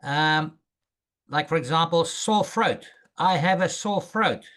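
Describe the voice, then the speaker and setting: A man teaching an English lesson, speaking slowly and patiently and repeating phrases for a student to copy. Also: on the high side, fairly bright tone. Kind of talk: language lesson drills.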